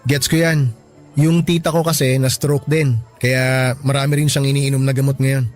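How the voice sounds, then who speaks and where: Actor as young Filipino man, mid-pitched voice, measured, reassuring, frank advice-giving friend, radio drama. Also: level tone, faint music behind, close to an everyday voice. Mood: attentive, easygoing. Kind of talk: Tagalog radio drama acting.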